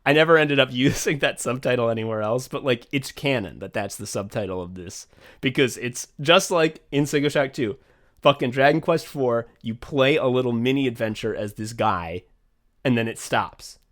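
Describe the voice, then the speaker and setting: A young man co-hosting a podcast in casual chat, rambling and swearing freely, getting excited and laughing as he talks. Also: medium pitch, moderate pace. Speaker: podcast co-host and writer.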